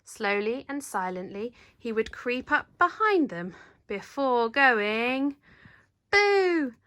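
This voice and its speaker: A woman reading a children's picture book aloud in an animated, dramatic storyteller's manner. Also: fairly high pitch, slow, no echo. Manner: animated, dramatic, leans into sound words. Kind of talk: expressive read-aloud narration.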